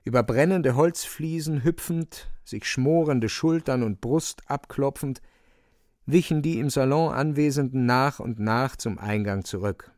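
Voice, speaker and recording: A man's voice: medium pitch, measured pace, darkish tone, no echo, clean studio sound, natural voice. A man narrating a literary radio play as a wry, vivid storyteller with dramatic delivery.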